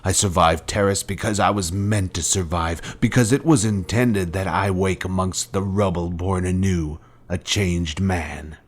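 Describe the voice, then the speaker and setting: An actor playing a menacing fallen Jedi master in a video game, speaking in a solemn, pompous, formal way as a cold former mentor. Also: male voice, low voice, fairly even intonation, measured pace, hardly any pauses. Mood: cold, certain. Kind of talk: scripted video game villain dialogue.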